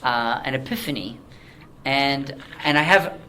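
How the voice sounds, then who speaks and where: A man co-hosting a casual movie podcast, talking in an animated way and often mimicking his co-host. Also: medium pitch, measured pace, a little hiss or hum. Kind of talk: casual chat.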